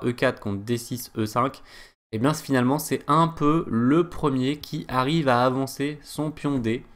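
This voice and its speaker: A man from France teaching a chess game analysis, talking hesitantly with many fillers and chatty digressions. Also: medium pitch. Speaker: chess coach.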